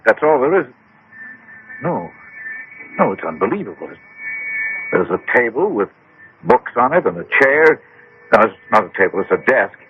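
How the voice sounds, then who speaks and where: An agitated, insistent gentleman in a radio drama. His forceful voice swings widely in pitch and breaks into halting, dramatic exclamations. The old broadcast carries faint noise. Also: rough recording. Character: headstrong, agitated gentleman demanding to know his fate. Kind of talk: 1970s-80s radio drama acting.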